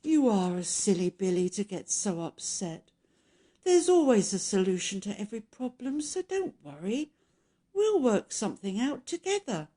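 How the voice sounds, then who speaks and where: A woman voicing a kind but stern old granny in a children's storybook, firm and deliberate. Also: mid-range voice, big pitch swings, warm tone. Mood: soothing.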